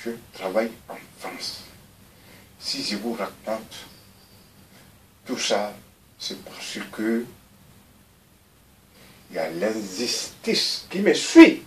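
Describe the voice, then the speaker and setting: A man, giving a recorded interview and talking in an animated, rambling way, often repeating himself. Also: lowish voice, extremely slow, long pauses, echoey room, recorded from a distance, slight background noise, low-quality recording. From Mali.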